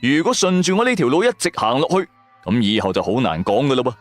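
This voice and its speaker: A man's voice: mid-range voice, wildly swooping pitch, quick pace, soft background music. A man with a Cantonese accent, narrating a first-person story in a dramatic audio drama style.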